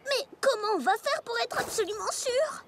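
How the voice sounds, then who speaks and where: A woman's voice: very high-pitched, animated, moderate pace, a little hiss or hum. A woman voicing a sweet, eager little filly in a French cartoon dub, with childlike enthusiasm. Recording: music behind the voice.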